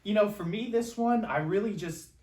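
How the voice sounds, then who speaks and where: A man co-hosting a podcast with loose, halting, informal talk about films. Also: high-pitched, darkish tone. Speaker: podcast co-host. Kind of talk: casual film discussion. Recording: roomy echo, distant microphone.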